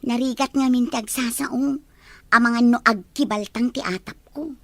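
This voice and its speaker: A woman's voice: fairly high pitch, fairly even intonation, moderate pace, no echo. A woman playing a probing, insinuating confidante in an audio drama.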